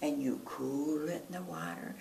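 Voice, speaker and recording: A woman voicing an exasperated possum mother in a children's storybook, scolding in an emphatic, drawn-out way. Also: deep voice, creaky, warm tone. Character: scolding, exasperated, doting possum mama with Southern folksy talk. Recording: roomy, distant mic, faint noise.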